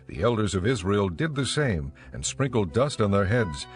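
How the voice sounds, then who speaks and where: A male narrator reads scripture as a dramatic story. His voice is fairly low and his delivery measured. Quiet music runs underneath. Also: very animated.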